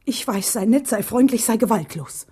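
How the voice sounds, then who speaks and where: An actress playing a defiant, indignant wife on the telephone in a radio drama. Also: female voice, a little high, animated, normal speed, dark tone, slightly rough.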